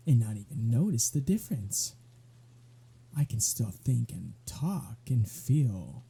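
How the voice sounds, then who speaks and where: A man's voice: medium pitch, vocal fry, warm tone, breathy edge, full character voice. A man playing a sardonic, weary vampire in an ASMR audio roleplay, talking in a flippant, conversational way. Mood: musing, sardonic.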